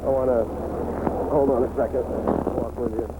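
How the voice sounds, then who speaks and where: A man's voice: medium pitch, little pitch movement, normal speed. An American man conducting a casual post-game sports interview on live radio. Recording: very noisy live field radio recording.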